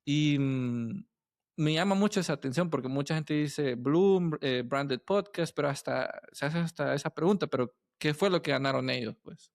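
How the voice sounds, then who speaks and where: Male podcast host, conversational and a little meandering, gravelly fry in the voice, close dry sound. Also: fairly high pitch, moderate pace, no echo. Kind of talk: casual interview questions.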